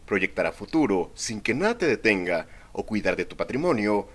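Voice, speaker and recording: A man's voice: fairly low pitch, very animated, moderate pace, a bit bright. A man reading a scripted advertising voice-over. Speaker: commercial announcer.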